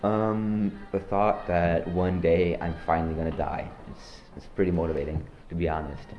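A teenage boy from Norway hosting a joking school podcast, talking in deadpan, slangy banter. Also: deep voice, normal speed, echoey room, lo-fi sound, soft background music. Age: teens. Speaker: podcast host. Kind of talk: joking student podcast interview.

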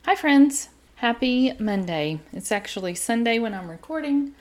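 A middle-aged woman with an East Texas accent, hosting her podcast from a quiet home in an earnest, chatty way. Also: on the high side, expressive, loud music behind the voice. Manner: chatty, earnest, talks faster as she warms up. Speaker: podcast host. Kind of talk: casual solo podcast talk.

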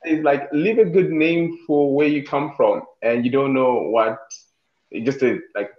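A man's voice: mid-range voice, a little slow. A man hosting an interview, encouraging and chatty. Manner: encouraging, frequent affirmations. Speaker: interview host. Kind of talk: casual interview chat. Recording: echoey room, dull lo-fi sound.

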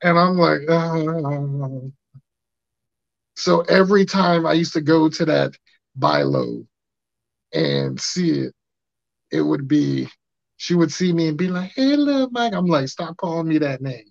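A man in his thirties with a raspy voice, hosting a podcast and telling stories in casual chat, acting out quotes. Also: fairly high pitch, a little slow, long pauses, dry recording. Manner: animated, acts out quotes in funny voices. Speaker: podcast host. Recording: dry muffled lo-fi sound.

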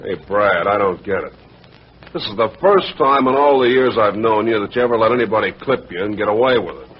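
An actor playing a gruff, puzzled, needling American policeman in an old radio crime drama. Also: fairly low pitch, brisk. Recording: muffled vintage radio recording.

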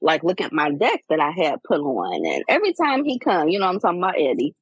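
A woman in her forties, talking candidly in a casual chat, reflective and animated as she tells personal stories. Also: sits low, fast, slightly rough, no echo. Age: 40s. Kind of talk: casual heartfelt conversation.

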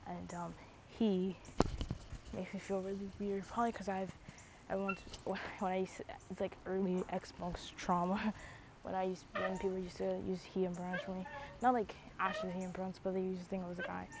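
A young woman chatting casually on a stream, rambling with lots of fillers and slang like bro and dude. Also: medium pitch. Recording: dull lo-fi mic with clear background noise.

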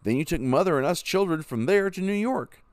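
A man's voice: on the high side, expressive, a little fast, dry recording, voice pushed up, natural voice. A man voicing a determined young pioneer father who is set on heading west. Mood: eager. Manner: firm, eager. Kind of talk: storybook reading.

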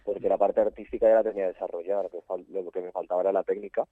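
A man's voice: deep voice, steady tone, racing, slightly rough, no room sound. A young man from Spain, a photographer guest, chatting casually with many fillers and restarts. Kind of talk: casual interview chat. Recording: tinny phone-like line.

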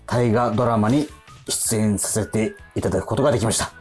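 A man, hosting radio with casual, animated storytelling. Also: on the low side, fairly quick. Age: 40s. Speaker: radio show host. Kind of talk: casual radio chat with anecdotes. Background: music.

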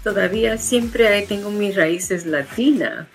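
A woman's voice: mid-range voice, measured pace, faint music behind. A woman from the United States with an American accent, a guest in an interview who talks with many fillers and restarts. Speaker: guest, education founder. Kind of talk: interview conversation.

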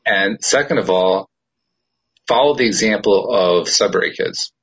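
Husky low-voiced man, lively podcast host. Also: measured pace, low-quality recording. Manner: reflective, laughs often, gives life advice. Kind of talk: casual podcast chat.

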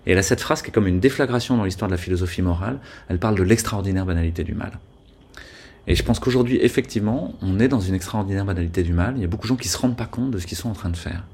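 Husky, earnest French-speaking man. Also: deep voice, very fast, darkish tone. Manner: earnest, argumentative, many hesitations. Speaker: guest. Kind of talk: conversational interview.

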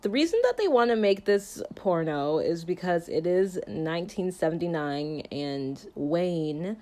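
A woman's voice: lowish voice, darkish tone. A woman giving a casual, chatty film review in a jokey, conversational way, peppered with "like". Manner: jokey, conversational, uses filler "like" often. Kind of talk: casual chatty movie recap and commentary.

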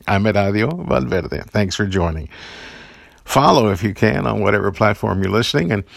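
A man with a low, gravelly voice gives an inspirational talk, earnest and encouraging. He tells personal stories and breaks into the odd chuckle. The recording is close and dry, with no room sound. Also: fairly bright tone, no room sound. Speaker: podcast host giving daily encouragement.

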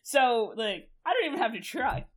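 A woman's voice: fairly high pitch, big pitch swings, normal speed, breathy edge. A young woman co-hosting a podcast, chatting casually in a rambling way, laughing often and swearing freely. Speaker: podcast co-host.

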